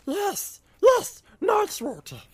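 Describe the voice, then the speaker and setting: A man voicing a thin, greedy male creature who talks of himself in the third person, in a gravelly, whining, drawn-out voice. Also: very high voice, huge pitch swings, pitched above the performer's own voice, heavily acted. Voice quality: gravelly, croaking, sing-song. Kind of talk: audiobook character voice.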